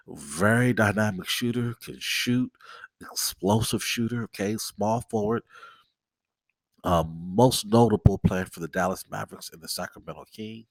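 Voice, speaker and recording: A man's voice: low-pitched, dry recording. A man hosting a casual solo podcast, nasal and stuffed up from a cold, rambling and repeating himself as he talks straight to his viewers. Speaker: podcast host.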